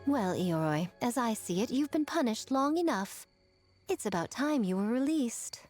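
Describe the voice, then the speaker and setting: A woman's voice: on the high side, animated, normal speed, a little mellow, soft background music, lightly acted. Woman playing a lilting, sly fox priestess. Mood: teasing. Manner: poised, teasing, theatrical. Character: sly, playful, elegant adult fox priestess. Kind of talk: video game character acting.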